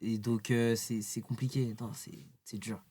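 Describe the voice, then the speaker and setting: A man's voice: medium pitch, flat delivery, normal speed, dark tone, breathy edge, dry recording. A man chatting casually in a panel conversation, informal and slangy.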